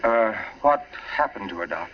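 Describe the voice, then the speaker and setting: An actor playing an uneasy, inquisitive young husband who forces a casual tone, in a 1940s radio drama on a dull old recording. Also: male voice, lowish voice, animated, moderate pace, a little hiss or hum, close to an everyday voice. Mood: curious.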